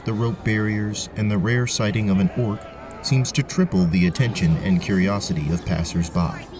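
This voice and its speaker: A man narrating a scripted fantasy story as the game master of an audio drama. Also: on the low side.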